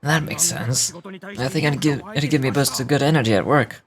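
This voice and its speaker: A man's voice: mid-range voice, normal speed, crowd noise, lightly acted. An actor playing a discontented but earnest middle-aged workman in a game, hesitant and plainspoken. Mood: encouraged. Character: weary working family man, discontented but earnest. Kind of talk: scripted game character dialogue.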